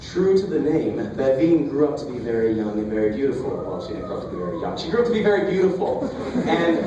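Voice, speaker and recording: A man telling a comic fairy tale live at a festival, in a playful, theatrical way with deliberate pauses. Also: mid-range voice, very animated, muffled recording. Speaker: storyteller. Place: storytelling festival, live performance. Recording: echoey, distant, noisy live festival recording.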